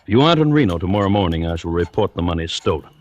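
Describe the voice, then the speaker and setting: An actor playing a wealthy, shrewd and wary politician in a 1940s radio drama, speaking in a smooth, formal, measured way. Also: male voice, low-pitched, lively intonation, a little mellow, lo-fi sound. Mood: shrewd, firm. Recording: noisy, crackly old radio recording.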